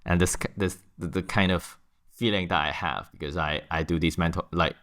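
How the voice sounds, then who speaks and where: Deep smooth voice, hong kong guy in his 30s, talks slow and thoughtful, lots of restarts. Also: steady tone, a bit bright. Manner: thoughtful, frequent restarts and fillers. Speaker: guest. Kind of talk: interview answers. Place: office.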